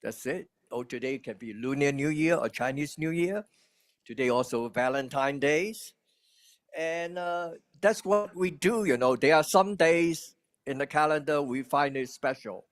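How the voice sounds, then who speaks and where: A man's voice: on the high side, a little slow. A man giving an informal sermon in a conversational way, filling his talk with little asides. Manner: conversational, many "you know" fillers. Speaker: preacher.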